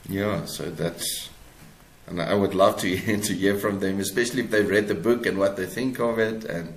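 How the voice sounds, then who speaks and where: A man with a South African accent talks casually as an interview guest. His voice sits low and his delivery is hesitant and halting. The sound is noticeably echoey. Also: steady tone. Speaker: author being interviewed.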